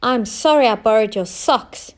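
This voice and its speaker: A woman voicing a gentle, apologetic, helpful male octopus in a children's storybook. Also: a little high, normal speed, close to an everyday voice.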